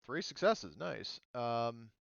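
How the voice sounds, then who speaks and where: A man running a tabletop roleplaying game, talking casually through the rules and thinking aloud in a halting way. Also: medium pitch, big pitch swings, vocal fry, a bit bright, highs cut off. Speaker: game master.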